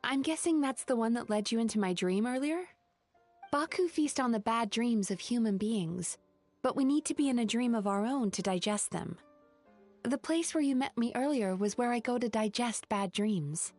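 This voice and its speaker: Polite, earnest young spirit girl for a fantasy game, higher-pitched voice. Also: expressive, normal speed, darkish tone, faint music behind. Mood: explaining. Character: polite, earnest female dream-eating tapir spirit, young therapist. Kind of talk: video game voice acting.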